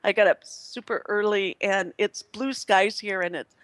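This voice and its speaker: A woman's voice: mid-range voice, fairly even intonation, unhurried, fairly bright tone, no echo. A woman hosting a radio show in casual, unscripted talk, chatty and effusive as she meanders from thought to thought. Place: live internet radio broadcast.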